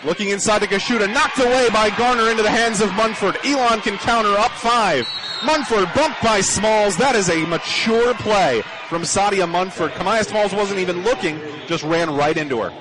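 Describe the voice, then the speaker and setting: High-voiced young man calling basketball. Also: moderate pace. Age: young adult. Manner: clipped, punchy phrasing, raised voice. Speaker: basketball play-by-play announcer. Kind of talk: live radio sports commentary. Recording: noisy gym ambience. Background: bouncing basketballs, gym noise.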